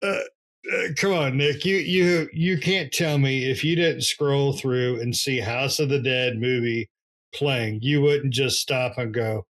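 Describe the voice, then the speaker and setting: A man's voice: mid-range voice, a little slow, a bit bright. A man co-hosting a podcast with casual, joking banter about movies and some offhand swearing. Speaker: podcast co-host.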